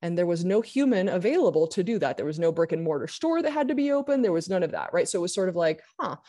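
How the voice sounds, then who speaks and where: A woman's voice: on the low side, very animated, warm tone. A woman who grew up in Argentina, a guest speaking candidly in a casual interview. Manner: candid, quick, many restarts.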